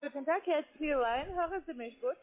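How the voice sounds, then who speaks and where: Insistent high-voiced woman on the phone. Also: brisk, slight background noise. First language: not German. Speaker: call centre sales agent. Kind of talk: phone sales pitch. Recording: tinny phone line.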